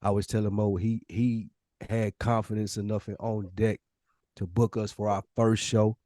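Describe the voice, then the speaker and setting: A man with a low voice and a Southern US accent hosts a casual podcast. He talks in loose, slangy, storytelling fashion. The sound is dry, with very little room in it. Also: flat delivery, normal speed, dark tone, no echo. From Nashville, United States. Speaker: podcast host.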